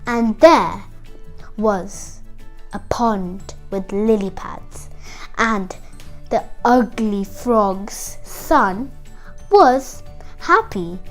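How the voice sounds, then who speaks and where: A woman's voice: mid-range voice, drawn-out words, pauses often, soft background music. A young girl hosting a kids' show, retelling a fairytale to children in a chatty, singsong, dramatic way. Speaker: storytelling host of a kids' show.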